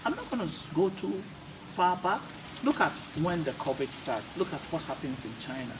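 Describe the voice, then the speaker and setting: A man's voice: fairly high pitch, very animated, normal speed, a crowd in the background. A man hosting a casual interview, often repeating phrases for emphasis. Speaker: interviewer, channel host. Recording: noisy, distant mic with ambient sound.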